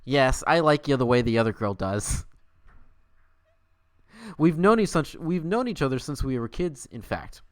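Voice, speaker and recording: A man's voice: medium pitch, animated, a little slow, pauses often, dry recording, studio quality, natural voice. A man voicing a wily, flirtatious female talking squirrel in a dramatic fan fiction reading, teasing and suggestive. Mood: flirty, teasing.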